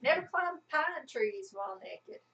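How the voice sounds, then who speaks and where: A woman's voice: high voice, sing-song, unhurried, lo-fi sound, over a noisy crowd. A woman chatting casually and reacting as a co-host. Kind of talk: casual chat, reacting to others.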